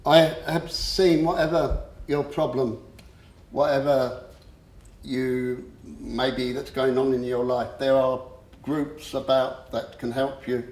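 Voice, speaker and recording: A man with a stammer gives a personal talk in a slow, unhurried way. He pauses often and fills the gaps with ums. His voice rings around an echoey hall, at a middle pitch. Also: fairly even intonation, a little mellow. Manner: slow, halting, with frequent pauses and fillers. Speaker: speaker giving a talk. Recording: echoey room.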